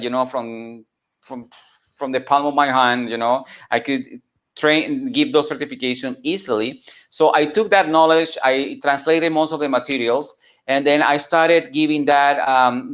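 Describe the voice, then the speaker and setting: A man from Venezuela, a native Spanish speaker with a Venezuelan accent, telling his story informally as an entrepreneur and mentor, in an earnest, halting way that repeats phrases. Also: medium pitch. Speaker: entrepreneur and mentor giving a talk.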